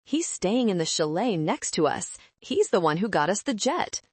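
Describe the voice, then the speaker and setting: Female narrator as confident man, teasing, calm, smug, animated audiobook dialogue, dry sound. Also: medium pitch, moderate pace, breathy edge, dry recording. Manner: teasing, self-assured. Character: calm, smug, grinning male partner.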